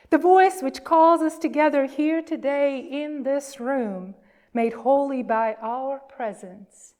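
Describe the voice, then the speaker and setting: Slow, high-pitched woman minister. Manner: measured, emphatic, with deliberate pauses. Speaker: minister leading a church service. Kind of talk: worship address and reverent reading.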